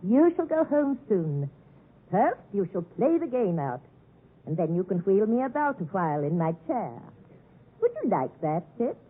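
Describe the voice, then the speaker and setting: An actress playing an imperious, eccentric rich old spinster in theatrical, commanding 1950s radio drama style. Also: female voice, mid-range voice, sing-song, a little slow, husky. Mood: imperious, coaxing. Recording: muffled narrow-band 1950s radio recording.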